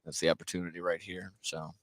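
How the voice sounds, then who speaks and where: A man's voice: low voice, level tone, fast, dry recording, studio quality. A man doing live radio play-by-play of a basketball game in clipped phrases. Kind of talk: live sports radio commentary. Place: indoor basketball gymnasium. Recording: noisy gym ambience, bouncing basketballs. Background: gym noise and basketball bounces.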